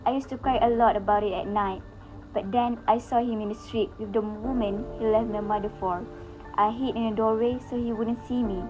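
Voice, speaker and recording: A woman's voice: a little high, fairly even intonation, fairly quick, music in the background, lightly acted, poor audio. A woman playing a grieving, tormented son in a stage play, with earnest, formal theatrical phrasing. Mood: sorrowful. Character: grieving, tormented son Heinrich mourning his mother.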